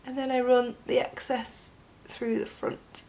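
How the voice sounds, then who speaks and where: A young woman with a croaky voice from a chest infection, chatting through a makeup tutorial in a gushing way, full of "like" and "um", close to the camera in a bedroom. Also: a little high, level tone, measured pace, darkish tone. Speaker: beauty vlogger. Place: bedroom with a dressing table, indoors.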